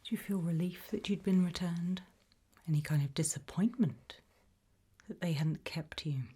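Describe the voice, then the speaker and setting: A woman with a British accent performs an ASMR interview roleplay, mostly in a soft whisper. Her voice is low for a woman and very breathy. She puts her questions gently and in a measured way. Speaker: ASMR roleplayer as an abduction investigator.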